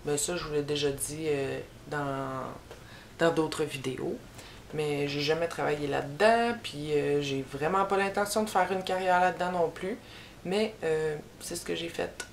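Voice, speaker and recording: A young woman with a Québécois French accent, chatting casually to camera as she answers tag questions, rambling and pausing on fillers. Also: deep voice, a little hiss or hum. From Quebec. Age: young adult. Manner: rambling and unhurried, with many filler pauses. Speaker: YouTuber. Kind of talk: casual tag video chat. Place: small room with a bookshelf behind her. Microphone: camera in front at head-and-shoulders framing.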